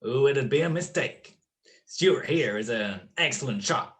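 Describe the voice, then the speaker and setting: A man's voice: medium pitch, expressive, unhurried, a bit bright. A man playing a suave gangster boss in a radio crime drama, mock-courteous and taunting. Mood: amused taunting. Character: suave, gloating male gangster boss with a gun.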